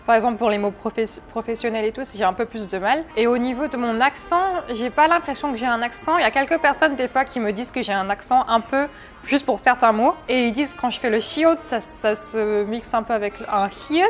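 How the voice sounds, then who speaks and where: Higher-voiced young woman, candid chat. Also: a little fast, soft background music. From France. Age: 20s. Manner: candid, chatty, with hesitant restarts. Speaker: interview guest. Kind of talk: casual interview talk.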